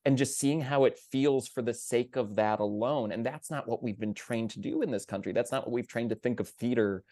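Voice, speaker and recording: A man's voice: medium pitch, brisk, warm tone, dry recording, clean studio sound. A man talking conversationally on a theater panel, earnest and emphatic, circling around his phrasing.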